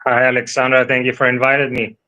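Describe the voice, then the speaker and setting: Halting Israeli-born man, bright dull sound. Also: mid-range voice, completely flat delivery. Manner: halting, many fillers and restarts. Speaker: guest. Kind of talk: interview answers.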